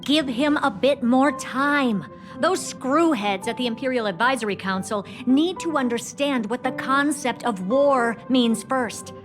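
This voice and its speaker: Game role: sassy young female genius, high voice, casually superior, music clearly behind. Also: unhurried. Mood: wry, dismissive. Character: cocky, blunt young female genius, flippant. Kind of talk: scripted game character dialogue.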